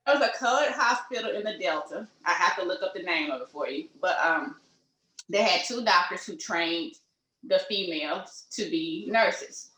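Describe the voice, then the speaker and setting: A middle-aged woman, speaking in a fairly low voice. She tells her story in an easygoing way, full of ums and you-knows. The recording has the echo of a room. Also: expressive, normal speed, sharp tone. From Mississippi. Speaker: pediatrician, interview guest. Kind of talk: casual interview conversation. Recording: echoey room.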